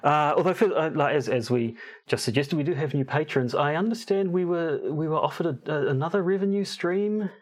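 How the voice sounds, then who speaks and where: A man's voice: fairly high pitch, a little fast, hardly any pauses. Wry, hesitant male podcast co-host. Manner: hesitant, many fillers and restarts, wry. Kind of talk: casual chat.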